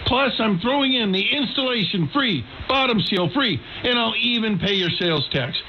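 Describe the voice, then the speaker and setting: High-voiced man in a muffled radio ad. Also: animated, moderate pace. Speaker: company advertiser. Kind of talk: radio ad pitch. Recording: muffled radio with faint noise.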